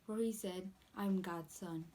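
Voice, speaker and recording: A woman's voice: mid-range voice, fairly even intonation, moderate pace, warm tone, natural voice. Woman reading as a chief priest, faint noise. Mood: mocking. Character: mocking, scheming chief priest. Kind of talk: dramatic scripture reading.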